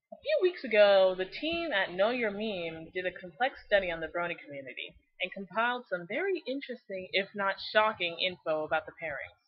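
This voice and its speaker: A woman giving scripted but casual fan commentary in a blunt, slangy, opinionated way, with animated delivery. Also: medium pitch, breathy edge. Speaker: fan video series host. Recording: slightly dull lo-fi recording.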